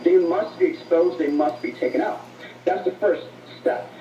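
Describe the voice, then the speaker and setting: A man answering as an interview guest, earnest and somewhat halting, heard through radio playback. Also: sits high, steady tone, mellow, distant mic, a little hiss or hum. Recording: dull, roomy radio playback from off mic.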